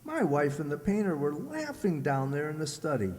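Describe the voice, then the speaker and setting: A man's voice: a little high, big pitch swings, normal speed, darkish tone. A man playing a jealous, fussy, self-pitying husband in a comic radio play, fretful and given to stammering. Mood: suspicious. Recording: reverberant hall stage recording.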